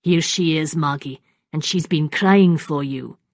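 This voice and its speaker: Woman acting a firm, low-voiced clan leader. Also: unhurried, no echo, studio quality. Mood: gentle authority. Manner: firm, sharp, authoritative. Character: stern, commanding male clan leader, protective, thirties-aged.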